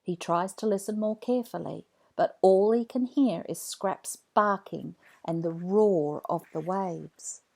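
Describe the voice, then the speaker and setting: A woman narrating a children's read-along story from a script, in an expressive storytelling manner. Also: medium pitch, measured pace, vocal fry, few pauses.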